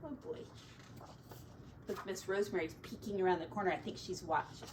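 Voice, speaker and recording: A woman's voice: on the low side, brisk, distant mic, background noise. A woman teacher reading a storybook to children expressively, adding playful asides and drawing out the descriptive words for drama.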